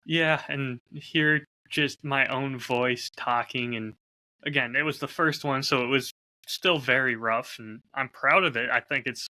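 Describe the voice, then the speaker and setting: A man's voice: mid-range voice, a little slow, sharp tone. A man chatting casually and candidly as a podcast host, openly self-critical about his creative work. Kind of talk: casual chat.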